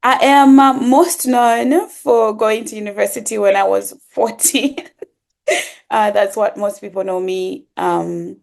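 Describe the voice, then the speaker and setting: Guest in her twenties from zimbabwe, casual chat, stops and restarts a lot, slightly low and creaky. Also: fairly even intonation, a little mellow. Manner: halting, many ums and restarts. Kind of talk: casual interview conversation.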